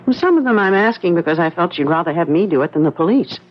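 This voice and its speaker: An actress in a 1970s radio drama playing a calm, motherly woman who probes gently and with measure. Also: lowish voice, quick pace. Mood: gently probing. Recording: dull, lo-fi 1970s radio recording.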